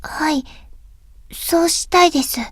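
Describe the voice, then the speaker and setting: Very high-voiced shy schoolgirl. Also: steady tone, a little fast, no room sound, clean studio sound. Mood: determined. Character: shy, earnest teenage schoolgirl resolving to try. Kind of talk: Japanese visual novel voice acting.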